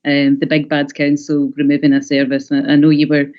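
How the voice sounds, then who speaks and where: Councillor, flat intonation, measured and diplomatic, hesitant phrasing, muffled meeting-call sound. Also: medium pitch. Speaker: local councillor. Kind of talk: formal committee remarks. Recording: muffled video-call audio.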